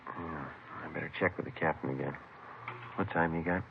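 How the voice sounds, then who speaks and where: A man's voice: extremely low pitch, fairly quick, gravelly, slight background noise. A man acting as a terse American detective sergeant narrating his case in a clipped, matter-of-fact 1950s radio drama style. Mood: businesslike. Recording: muffled vintage radio recording with music behind.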